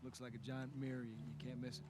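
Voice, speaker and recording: An earnest, concerned survivor played by a man, speaking quickly in naturalistic game drama. Guitar music plays clearly behind him. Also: mid-range voice, level tone, lightly acted.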